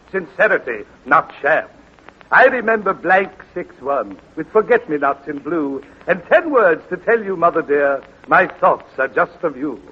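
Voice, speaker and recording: A man's voice: sits high, slightly rough, heavily acted. An actor playing a pompous man who declaims sentimental verse, in a 1940s radio comedy. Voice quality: florid, declaiming. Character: pompous male poet of soggy verse. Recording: muffled old radio recording.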